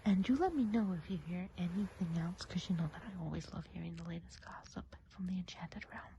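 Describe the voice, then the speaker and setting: A woman playing a friendly, gossipy witch of the woods in a soft, breathy ASMR roleplay, often whispering and musing gently. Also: on the low side, muffled recording, background noise, close to an everyday voice. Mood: gentle, friendly. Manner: chatty, gentle, unhurried musing.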